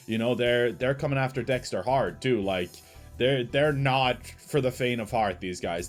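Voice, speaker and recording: Casual male reaction host, slightly nasal. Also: mid-range voice. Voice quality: slightly nasal early-morning voice. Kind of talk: casual chatty reaction commentary.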